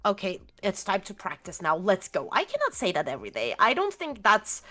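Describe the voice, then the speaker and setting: A woman's voice: medium pitch, wildly swooping pitch, a little fast, pushed and bright. A young woman with a Turkish accent, a guest chatting casually, rambling and hunting for words with many fillers. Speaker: interview guest. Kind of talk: casual video-call chat.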